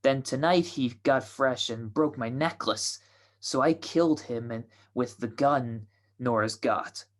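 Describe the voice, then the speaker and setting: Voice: medium pitch, a little slow, close to an everyday voice. A performer playing a naive, muddled young would-be femme fatale in a radio detective drama. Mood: earnest, muddled. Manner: hesitant, muddled.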